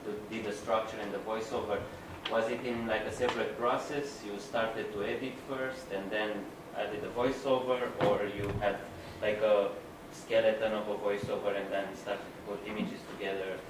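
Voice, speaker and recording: Low-voiced man asking from afar in a hall. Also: flat delivery, moderate pace, hardly any pauses. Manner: rambling, filler-heavy questioning. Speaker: audience member. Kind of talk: asking a question at a Q&A. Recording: echoey hall, distant, noisy.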